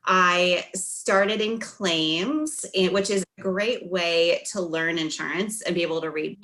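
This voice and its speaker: A woman's voice: fairly low pitch, slow pace, a bit bright, rough recording. A woman in her forties from the Midwest, a friendly interview guest who laughs often. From Midwest US, Iowa. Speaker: guest, insurance professional. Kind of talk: interview answers.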